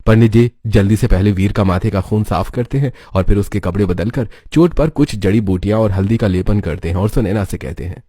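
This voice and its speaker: Guy narrating a story, lowish soft dark voice, scripted, bit of music behind. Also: brisk, few pauses, husky, dry recording, clean studio sound.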